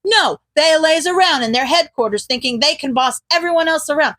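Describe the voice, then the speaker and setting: A woman voicing an excitable, foul-mouthed young man who talks emphatically, in a fan fiction audio reading. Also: high-pitched, a little fast, no room sound, pitched above the performer's own voice. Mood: indignant. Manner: indignant, emphatic, cursing, nervous stammering.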